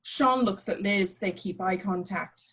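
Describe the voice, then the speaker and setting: Casting: female action narrator for a script read-through, mid-pitched. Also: unhurried, fairly bright tone, husky. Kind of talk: scripted narration, reading screenplay directions.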